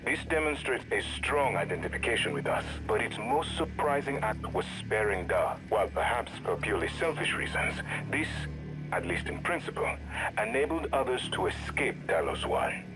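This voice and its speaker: A man playing a thoughtful scientist in a video game, giving calm, precise assessments in a clinical, measured manner. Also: on the low side, over music, low-quality recording.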